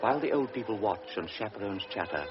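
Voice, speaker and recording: A man narrating a literary radio drama in a deliberate, solemn manner. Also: lowish voice, expressive, lightly acted. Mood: measured. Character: measured storyteller of a pre-war tale. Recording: dull vintage radio recording with music.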